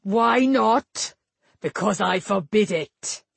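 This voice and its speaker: A woman voicing a massive grey-haired warrior king, a gruff, domineering father who blusters in rustic words, in a fantasy audiobook. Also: on the low side, unhurried, no echo. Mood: furious, commanding.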